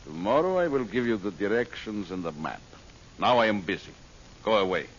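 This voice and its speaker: Man playing a low, swooping, mocking radio villain. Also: expressive, fairly quick, natural voice. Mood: curt, commanding. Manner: mocking, imperious, dismissive. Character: malignant male villain, mocking, dreams of ruling world. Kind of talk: old-time radio adventure acting. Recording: muffled, hissy old radio recording.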